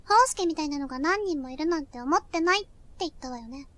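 A woman playing a brisk, sharp-tongued teenage senior schoolgirl in an anime-like audio drama, bossy and teasing. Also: sits high, expressive. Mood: brisk, explanatory.

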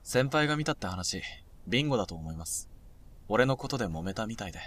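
A young man acting a blunt, provocative high school junior in an audio drama. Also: very animated, quick pace, fairly bright tone. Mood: casual.

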